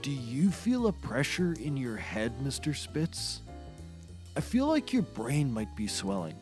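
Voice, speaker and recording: An actor playing an earnest paramedic in a scripted comedy, speaking with deadpan concern. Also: male voice, medium pitch, unhurried, soft background music. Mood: concerned, puzzled. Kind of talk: scripted comedy audio acting.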